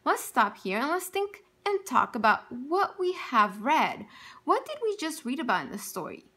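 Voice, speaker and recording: This is a woman with a bright, somewhat high voice and a very lively, sing-song pitch. She reads a storybook aloud as a teacher. She tells it expressively and keeps turning to her young listeners with gentle questions. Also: normal speed. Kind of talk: reading a picture book aloud to children.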